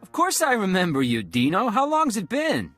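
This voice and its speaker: An actor playing a smooth, bluffing impostor posing as a mercenary in a video game. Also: male voice, high-pitched, wildly swooping pitch, normal speed, a bit bright, faint music behind, full character voice. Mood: feigned ease. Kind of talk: video game character dialogue.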